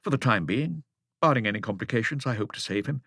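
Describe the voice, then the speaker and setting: Guy doing a worn out surgeon in an audiobook, pitched up, brisk and to the point. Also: animated, fairly bright tone, no room sound, studio quality. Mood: brisk, weary. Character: exhausted male hospital surgeon, brisk and businesslike. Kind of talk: audiobook character voice.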